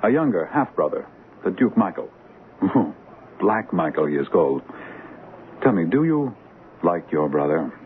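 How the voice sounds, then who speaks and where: A man's voice: deep voice, big pitch swings, measured pace, long pauses. A jolly bossy king in a 70s radio play, super swoopy delivery, tinny noisy old broadcast. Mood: genial, expansive. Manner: hearty, imperious, regal. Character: jovial, impulsive king about to be crowned. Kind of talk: 1970s radio drama acting. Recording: noisy narrow-band vintage radio broadcast.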